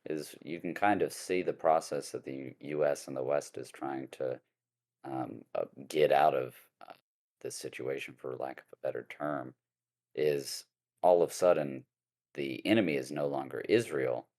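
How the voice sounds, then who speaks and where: A man speaking as a guest lawyer in a casual podcast discussion, halting, with many fillers and restarts. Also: a bass voice, a little mellow.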